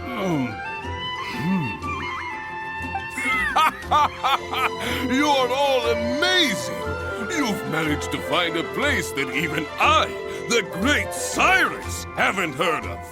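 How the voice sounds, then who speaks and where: A man's voice: high voice, big pitch swings, slow, heavily acted. A man voicing a boastful, jovial veteran adventurer in a video game, hearty and grandiose in his delivery. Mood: grandiose, amused. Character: boastful, jovial seasoned male adventurer and guild master. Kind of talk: video game character acting. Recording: game music behind the voice.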